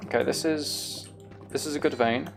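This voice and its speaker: A man's voice: a little high, steady tone, normal speed, vocal fry, music in the background. A man giving casual, self-deprecating gameplay commentary, musing aloud as he goes. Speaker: gaming video host.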